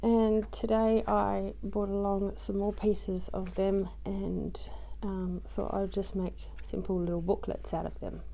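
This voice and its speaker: A woman's voice: medium pitch, level tone, a little slow, creaky, hardly any pauses, dark tone. A woman casually talking through a craft project at home, rambling with lots of ums and little self-deprecating asides. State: voice just recovered from loss. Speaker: craft journaling vlogger.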